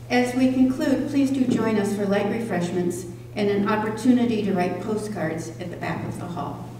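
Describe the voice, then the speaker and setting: An older woman reads a prepared introduction at a podium, measured and careful. Her tone is mellow. She sounds far from the microphone in a hall that echoes heavily. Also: mid-range voice, slight background noise. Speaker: event organizer introducing a speaker. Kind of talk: prepared introduction read from notes. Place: indoor community hall at a podium. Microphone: stand microphone at podium, picked up distantly.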